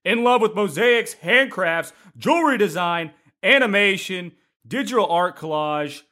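A man's voice: high voice, expressive, unhurried, sharp tone. A man hosting a podcast in an exuberant, playful, informal way with plenty of slang. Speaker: podcast host. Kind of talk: upbeat podcast hosting and interview.

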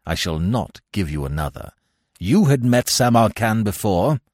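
A man's voice: on the low side, sing-song, a little slow, a little mellow, dry recording, clean studio sound, exaggerated voice. A man voicing a curt, impatient British investigator in an audiobook, questioning with harsh emphasis. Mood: grim, emphatic.

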